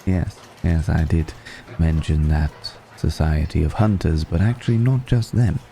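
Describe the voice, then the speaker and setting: A man playing a suave, secretive, courteous vampire noble in an intimate ASMR roleplay, speaking in a gravelly murmur with measured, hesitant pauses and genteel teasing. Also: a bass voice, fairly even intonation, warm tone, a little hiss or hum, exaggerated voice. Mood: courteous, cautionary. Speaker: audio roleplay performer.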